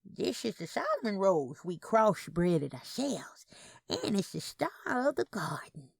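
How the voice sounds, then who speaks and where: A woman voicing a tiny, genteel, stubborn elderly Southern aunt in an audio story, gentle and folksy. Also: medium pitch, big pitch swings, unhurried, gravelly, breathy edge, no echo, exaggerated voice. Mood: proud, gentle.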